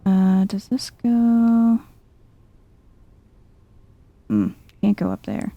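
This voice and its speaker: A soft-spoken woman giving chill ASMR video game commentary, musing to herself as she plays. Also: medium pitch, sing-song, extremely slow, gravelly, dry recording, lo-fi sound.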